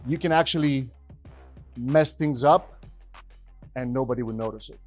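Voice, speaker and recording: A man, giving an energetic, conversational stage talk as a business speaker. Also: mid-range voice, normal speed, faint music behind. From Romania. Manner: energetic, conversational, rising emphasis. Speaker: podcast host and business speaker. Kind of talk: stage presentation to a live audience.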